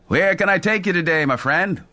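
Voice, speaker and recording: A man's voice: sits high, brisk, pushed and bright, pitched above the performer's own voice. A man voicing a chatty old train conductor. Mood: jovial. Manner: chatty, folksy, teasing.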